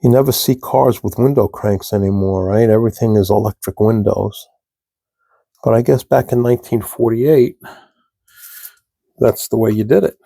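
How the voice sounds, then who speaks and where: A man with a gravelly, husky voice and a froggy throat, talking slowly and haltingly as he works at his bench. His tone is dark and mellow, and the sound is close and dry. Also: on the low side, dry recording. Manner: slow, halting, talks to viewers while working. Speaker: scale model hobbyist demonstrating. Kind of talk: casual hands-on tutorial.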